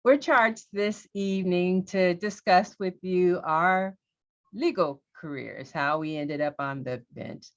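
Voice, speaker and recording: A middle-aged woman from Los Angeles, a judge, telling her career story on a panel in a candid, lively way. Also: fairly low pitch, slow, highs cut off.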